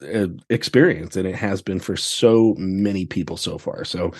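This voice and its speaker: American male podcast guest, lower-leaning voice, casual and enthusiastic conversational delivery. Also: normal speed, a little mellow. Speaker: podcast guest, theatre director. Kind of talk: casual chat.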